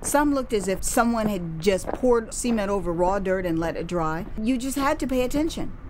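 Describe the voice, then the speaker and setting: An American woman narrating her own first-person memoir as theatrical audio drama, expressive, drawing out words for emphasis and dropping in chatty asides. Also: medium pitch, moderate pace, background noise. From United States.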